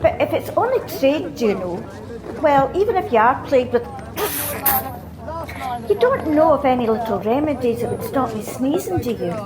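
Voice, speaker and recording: Scottish flower seller played by a woman, radio play, gossipy, higher-pitched, expressive, bustle in background. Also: normal speed, pauses often, a crowd in the background, rough recording. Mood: friendly, wistful. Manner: chatty, gossipy. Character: chatty, admiring female flower seller, sniffly and wistful. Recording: street bustle behind voice.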